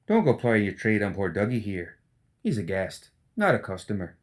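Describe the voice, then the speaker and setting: A man voicing a cocky, teasing gentleman rogue necromancer in an audiobook, with folksy, slangy, mocking talk. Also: lowish voice, very animated, normal speed. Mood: scolding playfully. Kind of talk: audiobook character acting.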